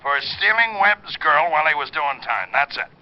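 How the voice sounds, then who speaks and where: An actor playing a brisk police lieutenant in a 1950s radio drama. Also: male voice, on the high side, animated, natural voice. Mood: businesslike. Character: gruff male police lieutenant. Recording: dull old radio broadcast.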